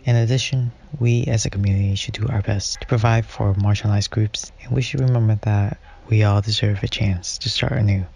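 A man from California reading a prepared film response in a flat, deliberate way. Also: on the low side, creaky, soft background music. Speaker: student guest.

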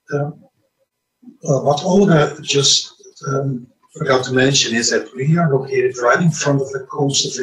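Curaçaoan man, echoey and distant. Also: medium pitch. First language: Papiamento. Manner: measured, with frequent fillers. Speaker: wellness retreat organizer. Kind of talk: interview answers.

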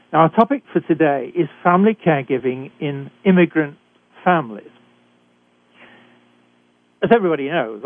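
An older man with a British accent hosts a talk radio show, speaking in a measured, deliberate way. His voice sits a little high for a man. The sound is dry and thin, like a phone line. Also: dry recording. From Britain.

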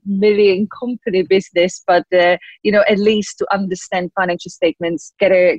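A young woman with a Czech accent answers interview questions conversationally, often pausing mid-sentence as she goes. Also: on the low side, darkish tone, no room sound, rough recording. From Czech Republic. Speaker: guest, lawyer and compliance officer.

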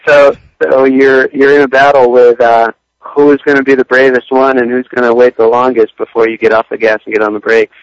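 A man being interviewed as a motorcycle racer, laid-back and halting, laughing now and then. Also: medium pitch, level tone, no echo, dull audio. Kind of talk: radio interview.

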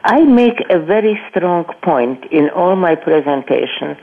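An older woman giving her personal testimony in an interview, speaking halting, non-native English with frequent restarts and repeated words. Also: lowish voice, lively intonation. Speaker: Holocaust survivor guest. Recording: tinny phone line.

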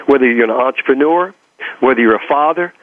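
A man's voice: mid-range voice, a little fast, no room sound. A man with a Texas accent speaks like a motivational coach being interviewed. He leans hard on key words and repeats phrases to drive them home. The sound is dry, narrow and muffled, like lo-fi radio or a phone call. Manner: emphatic, repeating phrases for effect. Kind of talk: motivational talk and personal storytelling in an interview.

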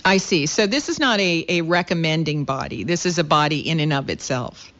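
A woman's voice: sits low, lively intonation, brisk, a bit bright. A woman hosting a radio talk show, introducing a guest and then pressing him with probing follow-up questions. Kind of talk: radio interview. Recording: slightly dull lo-fi radio sound.

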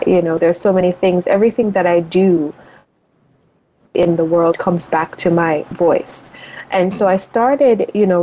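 A woman's voice: on the low side, warm tone, a little hiss or hum, poor audio. A woman guest, a life and money coach, talking in a reflective, motivational way and thinking aloud as she goes. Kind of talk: reflective interview talk.

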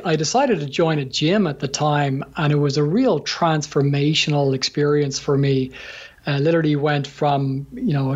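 A man with an Irish accent, a gym owner being interviewed, who talks in a halting way with fillers and restarts and laughs lightly between phrases. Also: a little high. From Ireland. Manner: halting, many fillers and restarts, chuckles while talking. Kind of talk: casual interview. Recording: dull lo-fi sound.

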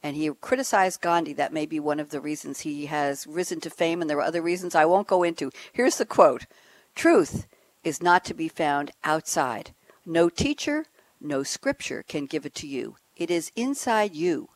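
Low-voiced woman hosting a radio show. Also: animated, normal speed, no room sound.

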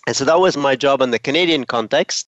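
A man's voice: medium pitch, quick pace, fairly bright tone, no room sound. A man from Ethiopia, a company founder, answers interview questions thoughtfully, with frequent fillers. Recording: dry, slightly dull sound.

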